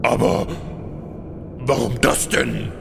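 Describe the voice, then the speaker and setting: An actor playing an evil, blustering ruler of a flying necropolis who gets easily flustered in a comic radio play. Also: male voice, medium pitch, fairly even intonation, slow pace, gravelly, a little hiss or hum. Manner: hesitant stammering that flips into irritable scolding.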